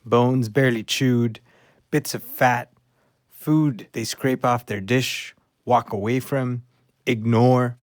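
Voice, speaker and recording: A man's voice: mid-range voice, steady tone, takes it slowly, dry recording, studio quality, close to an everyday voice. An actor playing a small, earnest, devoted rescue dog who tells his story wistfully in an audio play. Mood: relishing.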